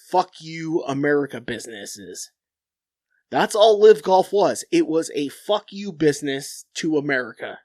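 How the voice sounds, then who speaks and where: A man's voice: a little high, no room sound, clean studio sound. A young adult man, stuffed-up and nasal, hosting a podcast as a blunt, profane solo rant that rambles and restarts. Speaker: podcast host.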